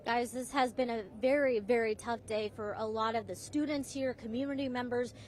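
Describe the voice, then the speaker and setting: High-pitched woman reporting TV news. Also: steady tone, normal speed, darkish tone, slight background noise. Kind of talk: broadcast news reporting, live and scripted. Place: outdoors at a memorial for live reports.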